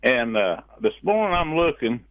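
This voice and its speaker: A man's voice: mid-range voice, sing-song, moderate pace, dry recording. Man on a thin phone line. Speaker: phone-in caller. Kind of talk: casual phone-in talk.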